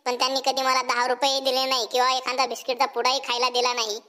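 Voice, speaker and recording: A man's voice: very high-pitched, completely flat delivery, fast, background noise. A man voicing a bold, cheeky cartoon schoolboy with a rural Marathi accent and a blunt, folksy way of talking. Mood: mock-formal, cheeky. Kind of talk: comic cartoon dialogue and mock school speech.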